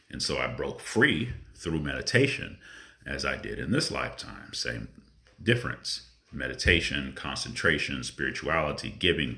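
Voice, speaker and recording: Very deep-voiced man, earnest spiritual monologue. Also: vocal fry, pushed and bright. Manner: earnest, reflective, with drawn-out pauses. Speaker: spiritual vlogger.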